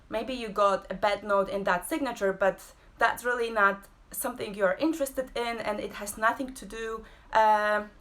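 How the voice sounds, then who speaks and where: A woman, hosting a casual live-streamed talk from home, pausing often with fillers. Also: mid-range voice, a bit bright. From Poland. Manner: hesitant, many fillers and pauses. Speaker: host and abstract painter.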